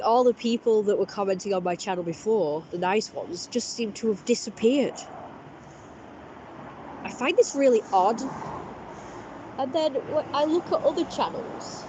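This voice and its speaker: A woman vlogging casually with a blocked-up, congested voice. She talks in a halting, rambling way, full of restarts and fillers. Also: mid-range voice, mellow, a little hiss or hum, low-quality recording. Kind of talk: casual personal vlog talk.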